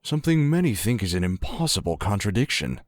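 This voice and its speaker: A man acting as a contemplative druid of the mountains, very low in pitch, with lively swings of intonation. He speaks formally and thoughtfully, as in a fantasy audio drama, in a dry, close recording. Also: no echo. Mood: curious, musing. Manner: measured, formal, musing.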